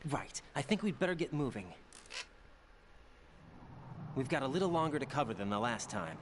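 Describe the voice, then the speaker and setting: A man's voice: mid-range voice, sing-song, normal speed, lightly acted. An actor voicing a serious young male soldier in a video game, terse and blunt but protective. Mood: reassuring, businesslike. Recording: game audio over clear background noise.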